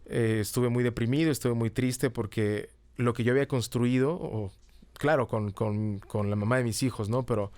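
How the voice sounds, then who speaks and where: A man's voice: medium pitch, fairly even intonation, normal speed, a little mellow, no room sound. A middle-aged man with a Mexican accent, explaining things casually in an interview and often ending phrases with a checking tag. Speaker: podcast guest, comedy teacher and writer. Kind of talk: casual conversational interview answers.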